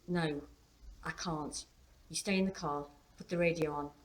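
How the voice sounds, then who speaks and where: A woman voicing an earnest, withdrawn father in a novel reading, speaking tersely and quietly. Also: low voice, steady tone, a little slow, slight background noise. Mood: terse, evasive.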